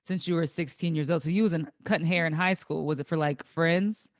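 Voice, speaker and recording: A woman in her late twenties hosting a casual interview, friendly and encouraging with quick short replies. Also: low voice, fairly even intonation, no room sound, clean studio sound. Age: late 20s. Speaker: podcast host.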